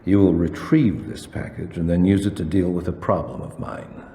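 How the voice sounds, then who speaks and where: Deep, dark-voiced formal crime boss. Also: male voice. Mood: calm, calculating. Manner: formal, measured, precise wording. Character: cold, menacing, articulate crime boss man hiring a killer. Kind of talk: video game cutscene dialogue acting. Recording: echoey reverberant space with background noise.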